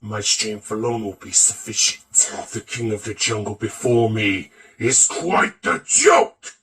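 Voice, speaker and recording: Theatrical man with a wildly swinging pitch. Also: lively intonation, unhurried, a bit bright, recorded from a distance. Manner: theatrical, switching between many character voices. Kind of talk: voice acting demo reel of game and cartoon character impressions.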